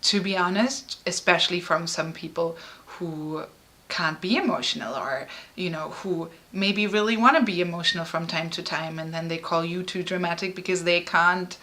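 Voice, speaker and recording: Bright-voiced woman, halting and emotional. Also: fairly low pitch. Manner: halting and emotional, frequent fillers and restarts. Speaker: artist and musician. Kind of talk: personal interview.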